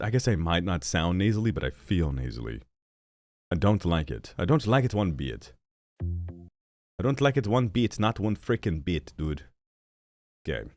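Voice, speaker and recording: Low-voiced, animated streamer man with a stuffy nose. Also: no echo, clean studio sound. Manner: rambling, self-mocking, animated with sudden jumps in pitch. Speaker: streamer and gaming channel host. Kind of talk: casual live-stream chat.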